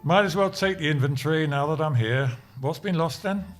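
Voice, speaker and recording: A man's voice: on the high side, fairly quick, soft background music, lightly acted. A man playing an older, self-important office colleague with a dry, pedantic manner in an audio sitcom.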